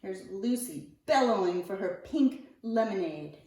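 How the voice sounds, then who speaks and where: A woman reading a picture book aloud to young children, expressively, with deliberate phrasing and drawn-out key words. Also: mid-range voice, animated, dark tone. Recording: roomy echo, distant mic.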